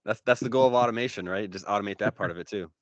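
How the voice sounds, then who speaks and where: A man's voice: lowish voice, very fast, no echo, lo-fi sound. A man giving a casual, explanatory business talk as an expert guest, in an informal conversational manner. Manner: informal, explanatory, frequent "you know".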